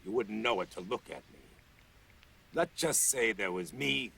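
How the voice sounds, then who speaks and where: A man voicing a gruff, lecherous demon hunter cursed into a wooden dummy, a world-weary wisecracker in a TV drama. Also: lowish voice, lively intonation, bright, forceful tone, slight background noise, full character voice. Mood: wry, cocky. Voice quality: gravelly. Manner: world-weary wisecracking tough guy, drawn-out words. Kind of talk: television drama acting. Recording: TV soundtrack with prominent music. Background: music.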